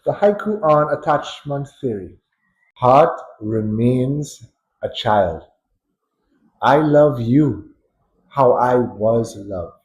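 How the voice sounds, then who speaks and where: Man, Trinidadian accent, psychologist, slow deliberate pace, mid-pitched voice, reflective teaching talk. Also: animated, darkish tone, poor audio. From Trinidad and Tobago. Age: adult. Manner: measured, thoughtful, with pauses and light chuckles. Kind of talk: unscripted educational talk.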